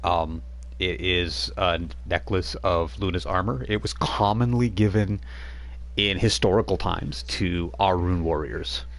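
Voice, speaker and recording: A man with a very deep voice narrating as game master. He explains rules and lore casually, pausing on fillers as he thinks. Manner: explanatory, with frequent fillers and restarts.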